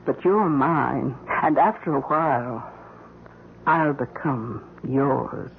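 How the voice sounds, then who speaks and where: A woman's voice: rumbling low, slow pace, vocal fry, full character voice. An old radio recording, narrow and faintly noisy, carries a middle-aged housekeeper. She is shrewd and coolly determined, phrasing things theatrically and insinuatingly in classic radio drama style. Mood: coolly coaxing. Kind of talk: 1970s radio drama acting.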